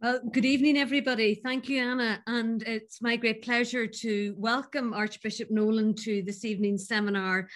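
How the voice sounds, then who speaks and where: Woman, head of school, formal welcome, halting, repeated words, video call. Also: fairly high pitch, steady tone. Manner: warm but halting, with many repeated words. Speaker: head of school introducing a speaker. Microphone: computer mic on a video call.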